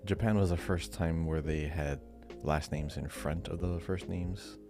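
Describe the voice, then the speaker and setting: Very deep-voiced man thinking out loud. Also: fairly even intonation, a little slow, over music. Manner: hesitant, reasons his way through answers. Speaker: podcast co-host and quiz contestant. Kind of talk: casual chat, thinking aloud.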